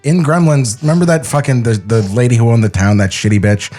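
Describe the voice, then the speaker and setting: A man's voice: mid-range voice, a little fast, a little mellow. A man co-hosting a podcast as an opinionated, rambling cartoon critic, chatting casually and swearing freely. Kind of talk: casual, profane podcast banter. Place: podcast studio. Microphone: podcast studio microphone.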